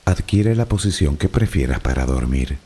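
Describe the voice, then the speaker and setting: A man with a Canarian Spanish accent narrates a sleep relaxation in a very deep, mellow voice. His tone has a slightly breathy, husky edge. The delivery is soft and unhurried, meant to lull the listener. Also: animated. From Canary Islands. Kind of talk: slow guided relaxation narration.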